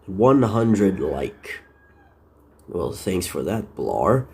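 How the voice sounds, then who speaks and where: A man from the Philippines with a deep voice, talking casually on a live stream, rambling and correcting himself with many fillers. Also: big pitch swings, very slow, a little mellow.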